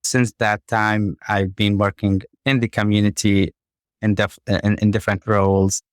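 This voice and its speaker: Flat, low-voiced man from Iraq. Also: no room sound, clean studio sound. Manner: halting, with fillers and restarts. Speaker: community navigator. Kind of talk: guest interview.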